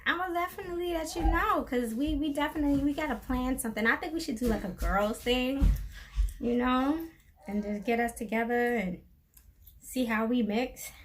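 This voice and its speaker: A chatty middle-aged woman hosting a casual live stream with her daughter. Her voice sits fairly high, and she laughs easily as she tells her stories. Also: moderate pace, slight background noise. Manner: chatty, laughs often while telling stories.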